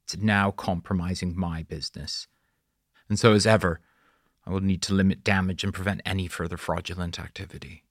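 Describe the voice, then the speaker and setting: Man acting as audiobook villain boss, husky, low, menacing, sarcastic, curt. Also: steady tone, a little fast, no room sound, studio quality. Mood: cold, threatening.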